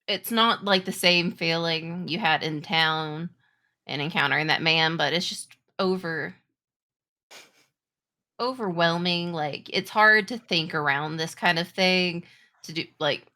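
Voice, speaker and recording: A woman improvising narration as game master of a tabletop game, halting as she searches for words. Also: low voice, pushed and bright.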